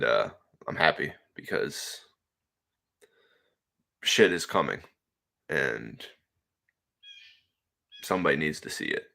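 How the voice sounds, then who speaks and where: Low-voiced young man rambling to chat. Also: vocal fry, fairly bright tone. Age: 20s. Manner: rambling, laid-back, laughs often, many fillers. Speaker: livestream host reading chat. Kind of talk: casual solo livestream chat.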